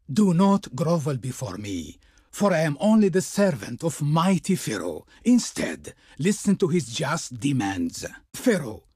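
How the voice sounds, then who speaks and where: High-pitched, pompous royal envoy. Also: male voice, darkish tone, breathy edge, dry recording, clean studio sound, exaggerated voice. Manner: pompous, ornate, declamatory. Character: haughty, pompous male envoy of ancient Egypt's Pharaoh. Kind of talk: theatrical video game voice acting.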